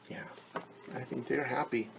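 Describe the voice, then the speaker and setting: A man's voice: medium pitch, fairly even intonation, unhurried, creaky, noisy background. A man teaching young children, reading a picture book aloud and patiently explaining it in simple terms with questions.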